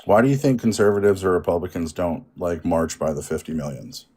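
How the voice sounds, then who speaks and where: Deep-voiced laid-back guy. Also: brisk, darkish tone, slightly rough. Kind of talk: casual political debate.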